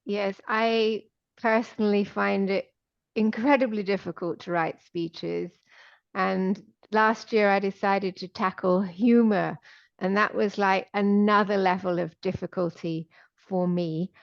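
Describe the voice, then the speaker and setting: A woman in the audience asking the presenter a question in an earnest, polite, slightly halting way. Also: mid-range voice, steady tone, creaky, dry recording. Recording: dull, dry lo-fi sound.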